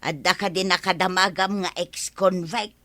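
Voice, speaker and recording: A woman acting a kind-hearted older village neighbour, gentle and forgiving, with an Ilocano accent in a comedy radio drama. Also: fairly low pitch, fairly even intonation, moderate pace, close to an everyday voice. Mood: reasonable. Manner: gentle, forgiving, matter-of-fact.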